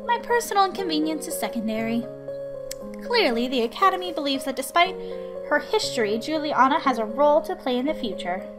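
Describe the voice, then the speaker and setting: Visual novel tutor played by a woman: formal, precise, proud, high-pitched, over music. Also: very animated, brisk. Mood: resigned, dutiful. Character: formal, dutiful, proud visiting tutor named Autumn.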